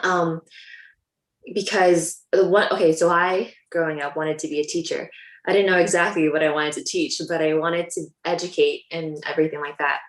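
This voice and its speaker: A woman's voice: sits low, steady tone, moderate pace. A young woman from Canada, a dental hygienist, talking casually and upbeat with lots of ums. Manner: chatty, upbeat, many ums. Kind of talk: casual talk to a live audience. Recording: echoey room.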